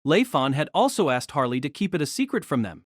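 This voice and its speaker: A narrator reading a novel aloud as an audio drama with expressive storytelling. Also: medium pitch, a little fast, no echo, clean studio sound.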